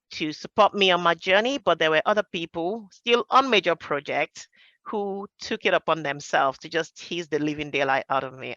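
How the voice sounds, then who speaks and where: A woman's voice: deep voice, bright, forceful tone, no room sound, muffled recording. A middle-aged woman with a Nigerian accent, speaking emphatically and reflectively in a conversational panel discussion. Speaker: podcast panellist, major projects professional.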